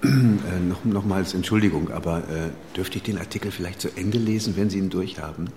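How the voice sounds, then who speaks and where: An actor playing an anxious, witty editor in his fifties in a radio drama, fussy and halting as he talks. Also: sits low, background noise, lightly acted. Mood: awkward charm. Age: 50s. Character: anxious, witty 59-year-old editor fretting about ageing. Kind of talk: naturalistic German radio drama.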